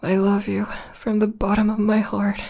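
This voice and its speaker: A woman playing a tender, lovestruck tomboy demoness in a romantic ASMR roleplay, speaking softly and haltingly with half-whispers. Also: mid-range voice, completely flat delivery. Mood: happy, adoring. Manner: soft, halting, breathy half-whispers with emotional stammers. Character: tender, lovestruck tomboy demoness, millennia old, newly proposing.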